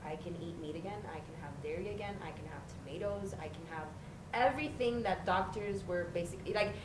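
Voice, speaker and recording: A woman's voice: on the low side, brisk. A woman in her thirties, a podcast guest, speaking candidly and matter-of-factly in casual chat. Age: 30s. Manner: candid, matter-of-fact storytelling. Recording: echoey room with noticeable background noise.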